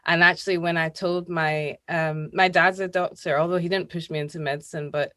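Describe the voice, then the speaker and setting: A Scottish woman in her thirties, a dermatologist guest on a video call, friendly and quick to laugh. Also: fairly low pitch, fairly even intonation, pushed and bright, no room sound, studio quality. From Scotland. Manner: friendly, laughs easily, quick agreeing asides. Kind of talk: casual interview chat.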